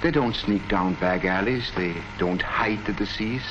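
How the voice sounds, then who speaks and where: An actor playing an earnest army colonel who presents public health facts in a declamatory, rhetorical way, in the style of a 1940s education film. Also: male voice, fairly low pitch, a little slow, lightly acted. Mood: earnest, explanatory. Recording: muffled, noisy 1940s film soundtrack.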